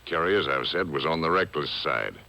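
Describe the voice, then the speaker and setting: An actor playing a guarded, menacing gambling-club boss in a 1950s radio crime drama, with terse, cool delivery. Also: male voice, extremely low pitch, animated, brisk, creaky, sharp tone, full character voice. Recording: old radio broadcast taped on cassette.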